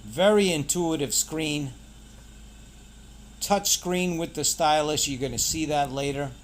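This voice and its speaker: A man's voice: a little high, slow pace, a little hiss or hum. A man hosting a casual product unboxing, pointing things out in short, clipped phrases. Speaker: product reviewer and channel host.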